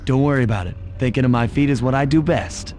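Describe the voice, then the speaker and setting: A man's voice: mid-range voice, quick pace, darkish tone, slight background noise, natural voice. A man voicing a self-assured, laid-back raccoon thief and loyal leader in a cartoon video game. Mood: breezy confidence. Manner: laid-back, confident, casual. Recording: music behind the voice.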